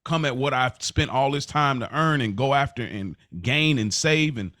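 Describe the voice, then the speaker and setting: A man co-hosting a podcast, talking in a relaxed, rambling way with many restarts. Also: medium pitch, normal speed, no echo, studio quality. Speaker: podcast co-host. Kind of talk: casual chat.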